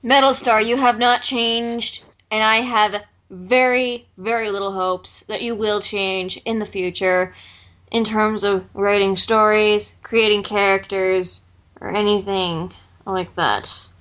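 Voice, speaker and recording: A woman's voice: medium pitch, steady tone, slow, fairly bright tone. A woman giving blunt, exasperated critique in a casual group conversation. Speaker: online video commentator.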